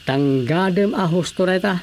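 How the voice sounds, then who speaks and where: A man's voice: high voice, moderate pace, a little mellow. A man playing an earnest, admonishing villager in radio drama comedy, with a northern Philippine accent. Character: earnest male villager, cautioning a friend. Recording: faint cricket-like background noise.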